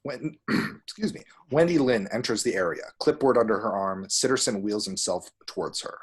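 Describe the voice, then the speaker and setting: A man reading screenplay action descriptions aloud at a table read, with a husky voice. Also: on the low side, moderate pace.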